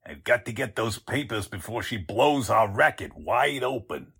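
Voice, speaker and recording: A man's voice: fairly low pitch, big pitch swings, moderate pace, a bit bright, exaggerated voice. An actor playing a scheming, pompous con man who poses as a count, in 1940s radio drama style. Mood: menacing, determined. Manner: suave affected courtesy switching to gruff bossiness.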